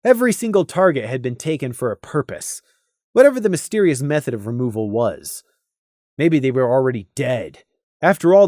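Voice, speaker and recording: A man reading a scripted story as a narrator, deliberate and expressive, with dramatic emphasis. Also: medium pitch, no echo, studio quality. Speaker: audiobook narrator.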